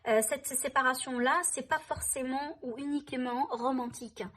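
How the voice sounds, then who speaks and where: A woman's voice: high voice, steady tone, normal speed, a bit bright. A woman doing a tarot reading for her viewers, speaking in a reassuring way, often checking in with "hein" and "d'accord". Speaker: tarot reader.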